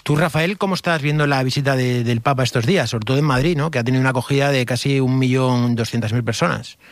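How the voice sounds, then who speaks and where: A man, a radio co-host presenting stories in a quick, wry way. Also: medium pitch, dry recording. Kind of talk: radio talk show chat.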